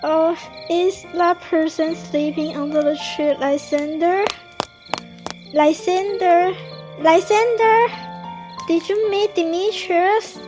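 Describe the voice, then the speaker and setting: A woman's voice: extremely high pitch, fairly even intonation, slow, over music. A woman playing a lovesick, self-pitying young woman in an amateur radio drama, plaintive in manner. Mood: forlorn, searching.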